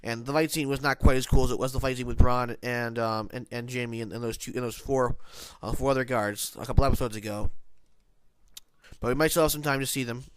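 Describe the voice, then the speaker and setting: A man talks through his TV episode review in a casual, rambling way, stopping often to restart. His voice is nasal and stuffed up from hay fever, yet it stays smooth and clear. The sound is dry and close, with no room echo. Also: mid-range voice, steady tone, fairly quick. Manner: rambling, many restarts and self-corrections.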